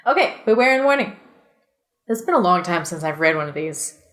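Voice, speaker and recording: A woman's voice: lowish voice, big pitch swings, brisk, fairly bright tone. A woman hosting a comedy podcast, mixing casual chat and reading aloud with giggly, self-deprecating asides.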